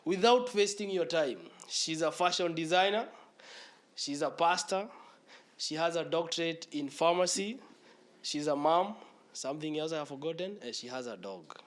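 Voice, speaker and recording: A man's voice: fairly high pitch, normal speed. Upbeat Kenyan man hosting a chat show. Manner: hyped intro, then relaxed and chatty with laughs. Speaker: podcast host. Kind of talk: casual interview chat mixing English and Swahili slang.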